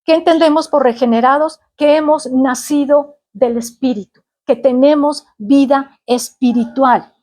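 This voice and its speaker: A woman preacher from Mexico City with a Mexican Spanish accent, delivering a sermon. Her voice sits high, and she teaches earnestly, pausing on fillers and checking that her listeners follow. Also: mellow. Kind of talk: sermon to a congregation.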